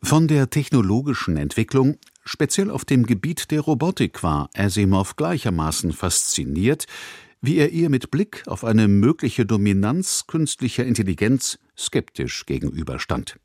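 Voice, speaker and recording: A man presenting on radio, reading a scripted introduction in a measured, articulate way. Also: fairly low pitch, sing-song, a little mellow, slightly rough, no room sound, studio quality. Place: dry broadcast studio.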